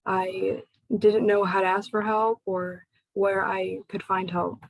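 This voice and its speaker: A young woman from the United States telling her personal story to a support group, speaking haltingly with pauses between phrases. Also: mid-range voice, flat delivery, vocal fry, dry recording, rough recording. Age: young adult.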